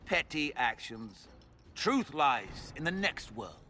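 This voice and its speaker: An actor playing a defiant, haughty fanatic lord in a video game, declaiming dramatically. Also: male voice, medium pitch, very animated, slow pace, bright, forceful tone, soft background music, heavily acted. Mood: bitter resolve. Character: defiant fanatic Tevinter lord facing judgement, haughty zealot.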